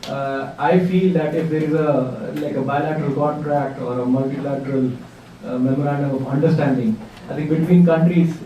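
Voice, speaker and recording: Hesitant man, dark voice, echoey room. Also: fairly high pitch, fairly even intonation, normal speed. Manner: hesitant, many fillers and restarts. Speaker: workshop participant. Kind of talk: spoken contribution in a group discussion. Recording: distant mic in an echoey room with faint noise.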